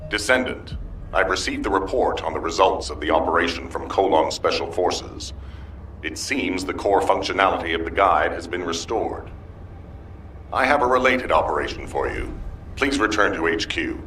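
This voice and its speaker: A businesslike military officer gives formal orders in a video game. The voice is deep and authoritative, sounding echoey and slightly distant with faint noise. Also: male voice, moderate pace, fairly bright tone. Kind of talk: video game scripted dialogue.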